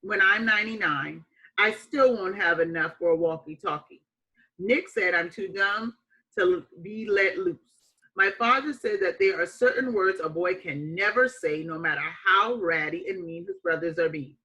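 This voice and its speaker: A woman reading a children's picture book aloud like a teacher, with expressive, emphatic phrasing and deliberate dramatic pauses. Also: mid-range voice, highs cut off.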